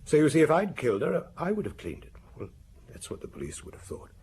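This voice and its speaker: An actor playing an anxious, faltering, self-justifying murder suspect in a radio drama. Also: male voice, on the high side, wildly swooping pitch, quick pace, darkish tone, breathy edge, heavily acted. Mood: anxious, defensive. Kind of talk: British radio drama acting. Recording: noisy radio drama recording.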